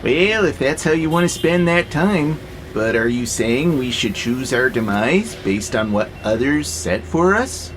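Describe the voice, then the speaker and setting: An actor playing a gentle, philosophical freight robot in an audio drama, calmly persuasive and playfully sarcastic. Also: male voice, medium pitch, measured pace, slight background noise. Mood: earnest. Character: gentle, wry, philosophical male freight-hauling robot, peaceable.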